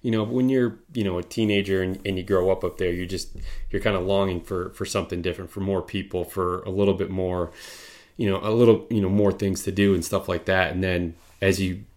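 Host, male, very low voice, easygoing and agreeable, stumbles into restarts. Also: steady tone, fairly quick, a little mellow. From Michigan. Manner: conversational, agreeable, hesitant restarts. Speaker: podcast host. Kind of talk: casual interview chat.

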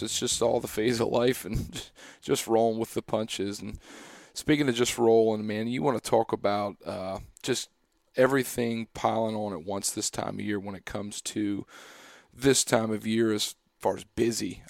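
Hoarse young male podcast host, gravelly and rambling, dry close mic. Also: fairly low pitch, dry recording. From Pennsylvania. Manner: rambling, with many fillers and restarts. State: hoarse, losing his voice. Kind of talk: casual chat.

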